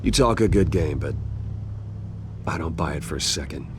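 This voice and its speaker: An actor playing a brooding, earnest billionaire vigilante in a video game, speaking in a grave, measured manner. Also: male voice, very deep voice, expressive, background noise. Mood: earnest, firm. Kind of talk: video game dramatic acting.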